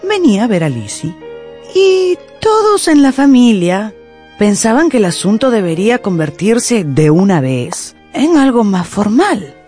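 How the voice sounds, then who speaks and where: A woman narrating a literary radio drama in an expressive, deliberate storytelling style. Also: medium pitch, faint music behind.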